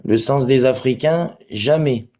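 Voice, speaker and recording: A man talks on a livestream in a middle-pitched voice. He is confrontational and crude, swearing and trading abuse with commenters as he goes. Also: measured pace, warm tone. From Portugal. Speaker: live stream participant. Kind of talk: livestream chat replying to commenters.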